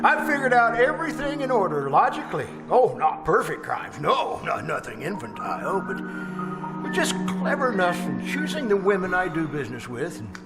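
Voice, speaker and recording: A gloating murderer in an old-time radio chiller, his high voice swooping wildly as he cackles and lingers on favorite words. He boasts with theatrical relish, performed live with music behind him. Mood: boastful. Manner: cackling, theatrical, savoring pauses and repeated words. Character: gloating, boastful male serial killer showing off his murder house. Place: live performance at a winery venue. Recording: live stage radio play over music.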